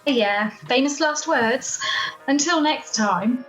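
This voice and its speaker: A woman's voice: sits high, takes it slowly, fairly bright tone, muffled recording, soft background music. A woman playing a blunt, flippant young daughter in an amateur radio play. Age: young adult. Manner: flippant, teasing. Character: cheeky, blunt young daughter and shop worker. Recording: roomy home recording via video call.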